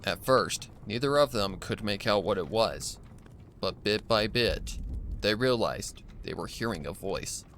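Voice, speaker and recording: Low-pitched man slowly narrating a horror story. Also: expressive, fairly bright tone, slight background noise.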